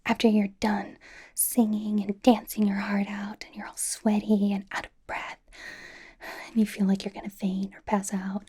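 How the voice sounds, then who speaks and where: A woman playing a bubbly, anxious, caring young K-pop idol in an ASMR roleplay, with an American accent, a soft breathy whisper and a chatty, rambling, reassuring way of talking. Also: mid-range voice. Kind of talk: ASMR personal attention roleplay.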